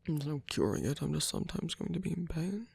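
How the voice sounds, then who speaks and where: A performer in an intimate ASMR roleplay, playing a proud, flirtatious villain in pain who speaks softly and haltingly, guarded yet tender, in a breathy, gravelly voice. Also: mid-range voice, animated. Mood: defensive, weary. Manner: halting, hesitant, murmured.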